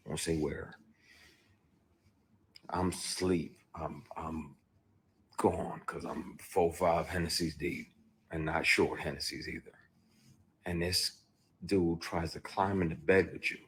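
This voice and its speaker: A man with a very deep, husky voice tells his own story candidly. He is blunt and emphatic and swears freely as he talks. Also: fairly even intonation, takes it slowly, pauses often. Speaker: actor.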